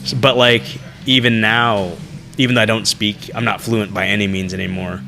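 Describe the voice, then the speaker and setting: Brief: male podcast co-host, gravelly, sharp tone, curious, chatty, faint music underneath. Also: lowish voice, level tone, moderate pace. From United States. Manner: curious, asks lots of questions, many fillers. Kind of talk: casual chat.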